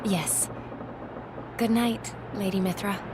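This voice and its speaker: A woman playing a gentle, formally polite female attendant in a video game cutscene. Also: medium pitch, steady tone, slow, very noisy, natural voice. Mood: gentle, courteous. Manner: formal, polite, soft-hearted. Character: Fan, gentle, courteous female blade attendant. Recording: music over the voice.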